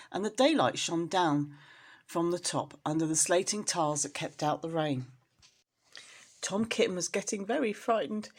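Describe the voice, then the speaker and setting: A woman storyteller reading expressively to young children, in an engaging, animated manner. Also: on the low side. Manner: animated, addresses young listeners with questions.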